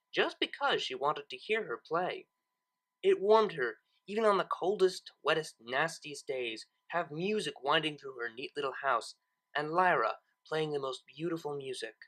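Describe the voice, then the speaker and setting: A woman's voice: sits low, lively intonation, normal speed, fairly bright tone. A woman narrating a story expressively, as a reader of fan fiction.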